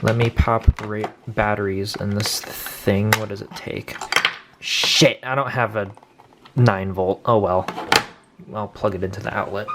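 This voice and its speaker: A man's voice: fairly low pitch, steady tone, measured pace. A young man casually hosting an unboxing video, informal and prone to swearing. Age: young adult. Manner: informal, crude-joking, swearing. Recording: noisy room recording with clicks.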